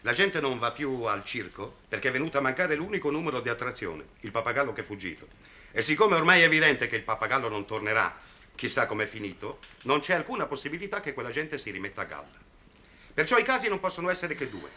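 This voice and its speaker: An actor playing a stern middle-aged lawyer in a vintage television drama, speaking formally, insistently and articulately. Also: male voice, mid-range voice, big pitch swings, quick pace, bright, forceful tone. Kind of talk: 1960s television drama acting. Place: indoors, office, off-screen microphone.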